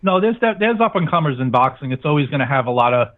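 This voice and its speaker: A man's voice: a little high, very animated, fast. Blunt man on a phone-like line. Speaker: guest, sports radio show host. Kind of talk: casual interview chat.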